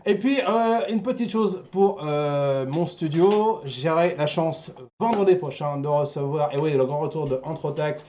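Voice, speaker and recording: A man's voice: a little high, unhurried, hardly any pauses, darkish tone. A man hosting an online talk show in casual, joking chat, recorded at home. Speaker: host of an online anime and manga talk show.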